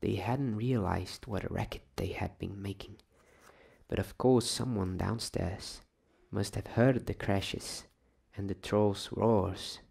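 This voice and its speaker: Low, gravelly man reading a bedtime story. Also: slow, a little mellow. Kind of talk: ASMR bedtime story reading, soft-spoken narration.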